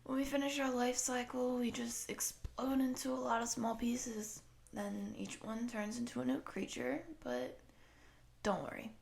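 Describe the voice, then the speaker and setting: Woman voicing a goofy, chatty, creaky-voiced young slime girl. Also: fairly high pitch, steady tone, unhurried, a little mellow. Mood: matter-of-fact, playful. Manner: casual slangy chatter with giggles. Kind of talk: roleplay audio aimed at the listener.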